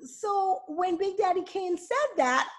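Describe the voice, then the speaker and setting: A woman's voice: very high-pitched, expressive, slow. A woman from Pittsburgh hosting a podcast, talking in a casual, teasing, affectionate way. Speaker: podcast host.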